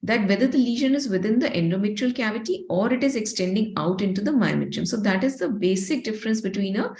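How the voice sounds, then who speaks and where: A woman delivers a case-based medical lecture in a medium-pitched voice. There is a gravelly fry to her speech. She explains things methodically, step by step, as a teacher would. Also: animated, fast talker, a little mellow. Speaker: radiology lecturer.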